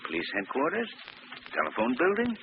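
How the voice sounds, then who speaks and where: An actor playing a composed, wry, urbane gentleman sleuth in an old-time radio mystery. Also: fairly high pitch, wildly swooping pitch, fairly quick. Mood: assured. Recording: muffled, noisy old radio recording.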